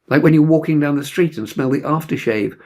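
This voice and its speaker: Expressive man reading a novel, higher-pitched voice. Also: brisk. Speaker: audiobook reader. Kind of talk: dramatic literary narration.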